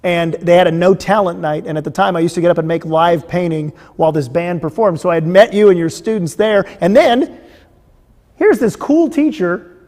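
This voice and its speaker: A middle-aged man hosting a casual interview, telling stories in an animated way, recorded in a large bare studio room. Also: high voice, normal speed. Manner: animated storytelling with lively gestures. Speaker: host. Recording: long reverb of a big room.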